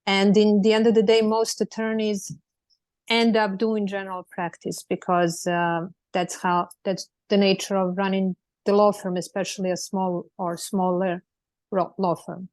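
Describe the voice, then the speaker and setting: A woman's voice: medium pitch, level tone, unhurried, darkish tone, no echo. A woman in her forties from Serbia with a Serbian accent, speaking as an attorney on a panel over a video call, friendly and full of fillers and restarts.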